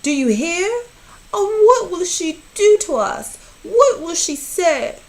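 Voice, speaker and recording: A woman's voice: very high voice, sing-song, slow, pitched above the performer's own voice, exaggerated voice, low-quality recording. A woman voicing a fretful, scolding storybook fish in an urgent, exclaiming manner. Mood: panicked.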